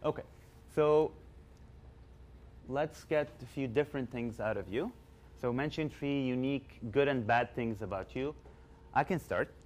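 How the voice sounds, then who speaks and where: A man's voice: medium pitch, a little slow, pauses often, a little mellow, slight background noise. A young man leading a class, chatty and upbeat, often asking the students questions. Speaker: course instructor. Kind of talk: informal classroom teaching.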